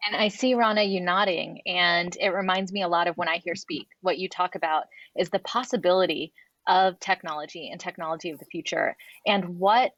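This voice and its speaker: A woman's voice: on the low side, steady tone, brisk, fairly bright tone, no room sound, highs cut off. A woman moderating a panel who asks encouraging, personal questions.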